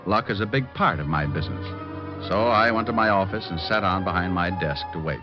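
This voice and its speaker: An actor playing a wry, cocky, unflappable private detective in an old-time radio drama, glib and sardonic. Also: male voice, low voice, animated, fairly quick. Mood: cool narration. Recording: dull old radio recording, faint music.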